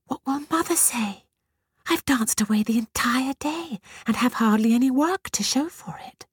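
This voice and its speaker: A woman voicing a dutiful, tearful young peasant girl in a storybook, with a high, breathy, pleading voice. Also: measured pace, no room sound, clean studio sound. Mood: wistful.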